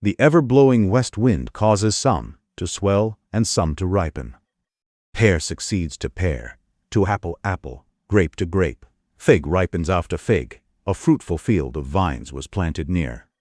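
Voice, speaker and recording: A man's voice: low voice, expressive, unhurried, a little mellow, no room sound, studio quality. A man giving formal, dramatic narration of an epic audiobook. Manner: formal storytelling.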